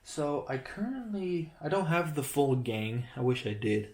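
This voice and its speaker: A man's voice: fairly high pitch, very animated, unhurried, a little mellow, a little hiss or hum. A man casually reviewing a toy building set he holds up to a camera, rambling, correcting himself and joking as he goes. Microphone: handheld camera close-up of toy.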